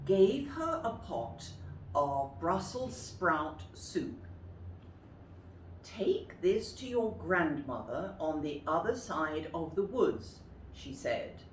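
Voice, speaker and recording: Children's storyteller, woman: unhurried, highly animated, deliberate and dramatic, roomy distant recording with faint noise. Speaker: storyteller, teacher. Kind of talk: read-aloud storytelling for children.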